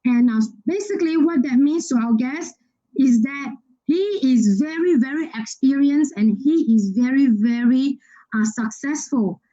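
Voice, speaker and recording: High-voiced woman hosting, dull lo-fi audio. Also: a little slow, mellow. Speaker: host and MC of online business presentation. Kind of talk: scripted-sounding event introduction. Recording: dull, lo-fi online call audio.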